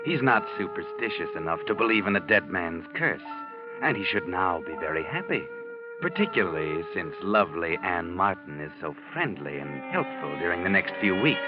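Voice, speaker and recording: Ominous narrator for vintage radio thriller, deep, wry delivery, muffled old broadcast sound. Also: male voice, sing-song. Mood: foreboding, wry. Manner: deliberate, sardonic, foreboding. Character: ominous, wry male storyteller of radio mystery. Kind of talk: 1940s radio scripted narration. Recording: muffled old radio recording, loud music behind.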